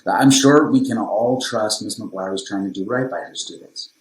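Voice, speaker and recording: A diplomatic male mediator played by a man in a podcast drama. His voice is very deep and measured, always conciliatory, with a noticeable room echo around it. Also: lightly acted. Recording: roomy, echoing sound.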